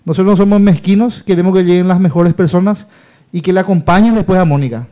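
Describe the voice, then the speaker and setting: High-voiced guy, mellow tone, rambling on about his campaign, keeps repeating himself. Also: fast. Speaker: local politician, guest. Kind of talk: casual campaign talk.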